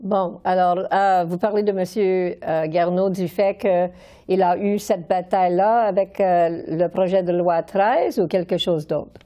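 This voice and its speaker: Mellow low-voiced woman interviewer. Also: fairly even intonation, unhurried. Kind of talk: interview questions.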